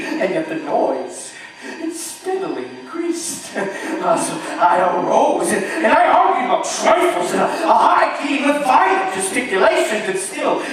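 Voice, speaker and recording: A man performing a feverish, guilt-tormented murderer confessing, with stammering theatrical delivery, in a live gothic horror stage reading. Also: sits high. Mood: frantic agitation. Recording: distant, very echoey theater, faint noise.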